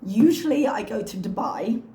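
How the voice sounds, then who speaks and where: Hoarse woman chatting with wry humor. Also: on the high side, lively intonation, moderate pace, mellow, echoey room. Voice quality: slightly strained. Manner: chatty, wry, self-mocking. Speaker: reaction channel host. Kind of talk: casual chat to camera.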